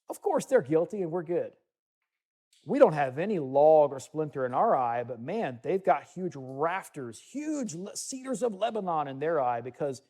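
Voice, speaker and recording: A man's voice: fairly high pitch, dark tone. A man giving a teaching sermon to listeners in a room, reading scripture aloud and adding conversational asides. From United States. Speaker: Bible teacher.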